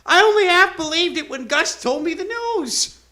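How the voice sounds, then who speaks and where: A man's voice: very high voice, expressive, normal speed, sharp tone, full character voice. An actor playing a courtly, teasing male circus clown with flowery theatrical phrasing in a live radio drama. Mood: wistful, courtly. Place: theater stage.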